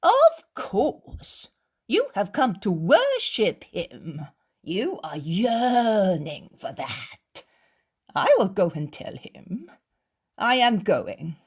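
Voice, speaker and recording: A woman voicing a robust male innkeeper who loudly and sarcastically taunts his visitors. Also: mid-range voice, wildly swooping pitch, drawn-out words, slightly rough, pitched above the performer's own voice, full character voice. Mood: mocking. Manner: loud, defiant, sarcastic taunting. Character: robust, brash male innkeeper, fearless and mocking.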